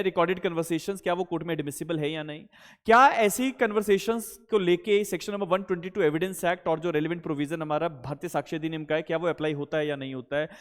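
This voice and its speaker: A man with an Indian accent giving an explanatory law lecture, posing questions and answering them in a didactic way, mixing in English legal terms. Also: high-pitched. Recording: long hall-like reverb.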